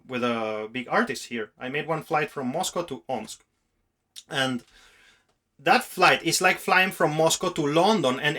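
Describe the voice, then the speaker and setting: Male podcast host, slightly high and very bright, forceful voice, earnest rambling conversational delivery. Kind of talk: casual, reflective podcast conversation.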